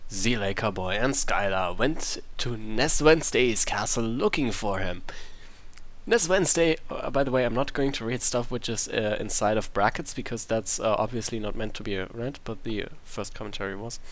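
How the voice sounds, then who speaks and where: A man's voice: medium pitch, fairly bright tone. A man reading out a fan story in a mocking dramatic way, breaking off with incredulous, sarcastic asides. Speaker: reader of an online fan story.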